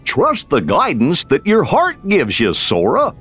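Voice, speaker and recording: A man playing a gentle, earnest cartoon dog companion in a video game, with a goofy husky drawl. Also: fairly high pitch, huge pitch swings, a little slow, soft background music, exaggerated voice. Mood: gentle concern.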